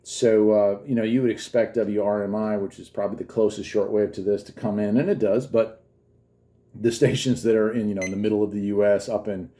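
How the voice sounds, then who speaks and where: A man's voice: lowish voice, fairly even intonation, brisk, dark tone. A man casually hosting a gadget demonstration on video, rambling along with enthusiasm. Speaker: YouTube host. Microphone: phone camera held by another person. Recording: faint background noise.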